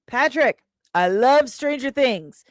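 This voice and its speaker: Chatty woman host, slow-paced and dry-sounding. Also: fairly high pitch, animated, no room sound. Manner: playful, chatty, irreverent, with pet names and slang. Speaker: host of an entertainment news livestream. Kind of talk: casual commentary, reading and answering live chat comments.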